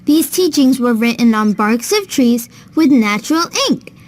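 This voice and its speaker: Woman as cartoon older sister, higher-pitched, kindly, patient, knowledgeable, scripted educational storytelling. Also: animated, measured pace. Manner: patient, clear explaining.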